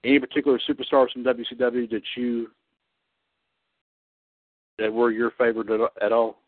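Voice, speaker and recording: A man in his thirties hosting a casual online radio show about wrestling. He talks haltingly, with many fillers and restarts. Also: medium pitch, little pitch movement, no echo. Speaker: podcast host. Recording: tinny phone-line sound, dry.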